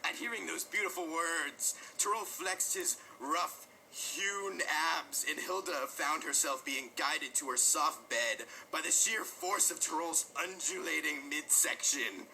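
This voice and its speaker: A man giving a mock-dramatic, overwrought reading of parody romance fiction, with drawn-out emphasis. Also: a little high, gravelly, pushed and bright, slight background noise, rough recording. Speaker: reader of a comic dramatic reading.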